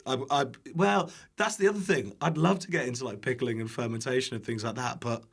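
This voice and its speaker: British male podcast guest, food creator type. Casual, jokey, self-deprecating, happy to swear, mid-pitched. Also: quick pace, vocal fry, husky. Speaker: podcast guest, online cooking video creator. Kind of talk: casual chat.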